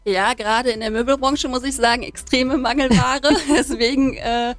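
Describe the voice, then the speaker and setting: A middle-aged woman from Cologne, a managing director, talking chattily in a radio interview with many fillers and warm laughs. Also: high-pitched, fairly even intonation, moderate pace, dry recording. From Cologne, Germany.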